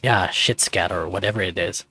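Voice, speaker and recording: A man's voice: low voice, normal speed, pushed and bright, slightly rough, no echo, voice pushed down, heavily acted. A man voicing a laid-back, teasing teenage best friend in a visual novel, casual and playful.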